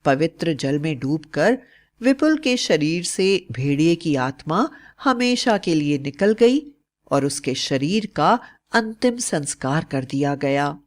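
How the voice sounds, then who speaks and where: A woman's voice: low-pitched, sing-song, slow pace. A woman narrating a scripted fairy tale as a storyteller.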